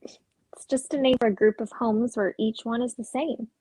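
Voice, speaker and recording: Casting: wry, tired suburban young woman female actor, slightly raspy higher voice, understated stage drama delivery. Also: fairly even intonation, normal speed, mellow, poor audio. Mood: flat, resigned. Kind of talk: dramatic script reading.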